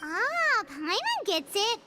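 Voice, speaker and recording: Tiny childlike fairy, extremely high squeak, wildly animated, eager, loud music behind. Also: female voice, takes it slowly, exaggerated voice. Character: tiny, childlike, excitable floating fairy companion. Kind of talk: video game voice acting.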